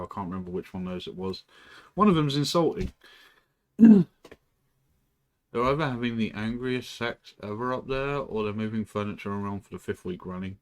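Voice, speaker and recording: A man with a slightly low voice chats on a live stream from home. He rambles loosely between thoughts, clears his throat often, and swears casually. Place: indoors at home.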